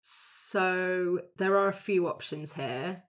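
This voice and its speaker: Woman thinking aloud, lowish voice. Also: takes it slowly. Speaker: player on an actual-play show. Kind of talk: casual tabletop game discussion.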